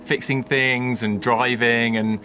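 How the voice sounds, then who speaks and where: A man's voice: medium pitch, flat delivery, takes it slowly, sharp tone, faint music behind. A man in his thirties chatting on a vlog with his wife, reflective and thinking aloud, recorded outdoors in a garden. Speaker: vlogger, co-host. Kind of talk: casual chat.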